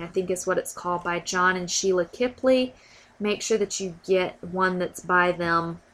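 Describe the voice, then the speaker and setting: A woman hosting a podcast, talking casually and a little stuffy from a cold, often repeating words as she thinks. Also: medium pitch. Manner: warm, informal, repeats words while thinking. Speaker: podcast host. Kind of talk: casual educational podcast talk.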